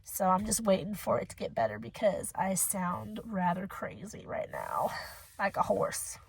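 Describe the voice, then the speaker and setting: A young woman with a hoarse voice from a cold, rambling casually as she reviews a book for her channel. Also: mid-range voice, big pitch swings, measured pace. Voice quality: husky. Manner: chatty, rambling, emotional.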